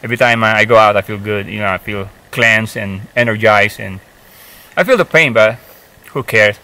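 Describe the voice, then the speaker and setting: Bright-voiced man in his fifties, halting. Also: lowish voice, poor audio. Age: 50s. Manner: halting, many fillers and restarts. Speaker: hiking vlogger. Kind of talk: casual talk to camera. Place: forest, outdoors, seated on a rock.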